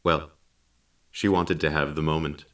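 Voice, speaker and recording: Deep-voiced calm male storyteller. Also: unhurried, recorded from a distance. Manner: calm, patient explaining. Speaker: storyteller narrator.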